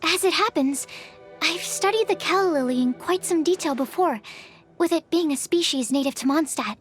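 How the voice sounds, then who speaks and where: A woman's voice: high voice, normal speed, faint music behind, close to an everyday voice. A woman voicing a bookish, timid and earnest young alchemist's assistant in an anime game. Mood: earnest, polite.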